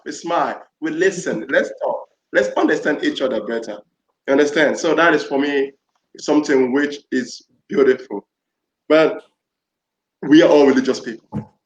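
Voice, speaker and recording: Earnest man from Nigeria, roomy sound. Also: mid-range voice. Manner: earnest, repeats and restarts phrases. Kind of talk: reflective panel talk. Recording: roomy, dull lo-fi audio.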